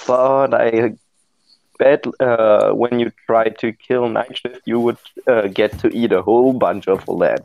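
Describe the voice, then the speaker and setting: A man hosting a casual group voice chat, talking slowly and haltingly, with many restarts and fillers. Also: medium pitch, steady tone, vocal fry, no echo. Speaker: podcast host. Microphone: computer mic on a voice call. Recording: dull lo-fi voice-chat audio.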